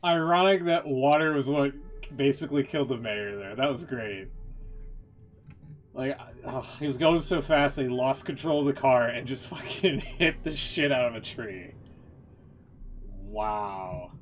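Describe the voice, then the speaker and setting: A man's voice: mid-range voice, animated, measured pace, soft background music. Casual male streamer, rambling commentary. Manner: informal, rambling, mildly sweary. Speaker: game streamer reacting to cutscenes.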